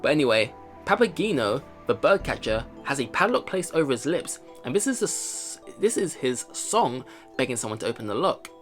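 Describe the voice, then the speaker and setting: Male let's player, animated and fairly high, reading story text and musing, game music behind. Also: a little fast. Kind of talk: reading a visual novel aloud with commentary. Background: visual novel game music.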